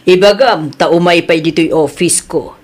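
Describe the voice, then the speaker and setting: A Filipino woman playing a patient but firm boss in an audio drama, measured, often humming thoughtfully before she answers. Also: fairly low pitch. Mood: calm, probing. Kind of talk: acted audio comedy-drama dialogue.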